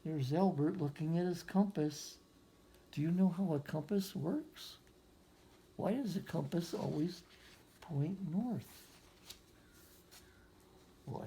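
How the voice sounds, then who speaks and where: A physicist reading a picture book aloud to young children, gently explaining things and adding musing asides and questions. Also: medium pitch, poor audio.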